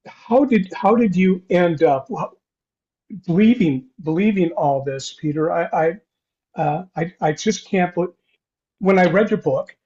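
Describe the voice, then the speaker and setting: An older man hosting an interview podcast, talking in a halting, rambling way with repeated false starts. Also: high voice, darkish tone. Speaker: podcast host. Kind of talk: conversational interview. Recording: dull, lo-fi sound.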